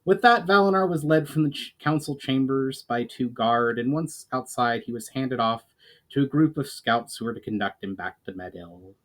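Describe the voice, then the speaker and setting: A man's voice: mid-range voice, lively intonation, normal speed, a bit bright. A man giving a dramatic storytime reading as an author and narrator. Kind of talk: dramatic audiobook-style reading.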